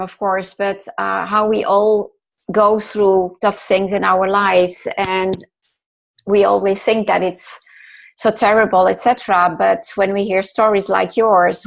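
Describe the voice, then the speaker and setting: A woman hosts an interview podcast in an upbeat, encouraging way. Her voice sits at a middle pitch. She reads a scripted welcome, then keeps the guest going with quick affirmations. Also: vocal fry. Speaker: podcast host, mentor. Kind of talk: interview hosting with scripted intro.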